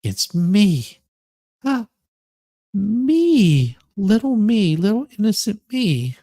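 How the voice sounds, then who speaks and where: A man's voice: high-pitched, very animated, very slow, dark tone, dry recording, clean studio sound, pitched above the performer's own voice, full character voice. A man voicing an aggrieved thirty-something woman in a theatrical, overwrought dramatic reading of her self-pitying post. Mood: coy innocence.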